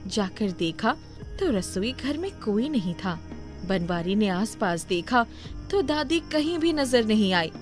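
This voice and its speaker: A woman's voice: medium pitch, big pitch swings, a little slow, over music. A woman reading scripted narration for a moral story as its storyteller.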